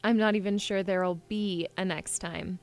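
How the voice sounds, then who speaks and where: A woman's voice: mid-range voice, steady tone, moderate pace, soft background music, close to an everyday voice. A woman playing a headstrong, spirited young princess in a fantasy audio drama, earnest and pleading with a defiant streak. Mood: wry, weary.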